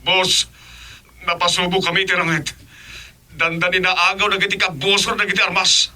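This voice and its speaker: A man's voice: sits high, flat delivery, normal speed, pushed and bright. A man with an Ilocano accent playing an anxious underling reporting to his boss in a radio drama.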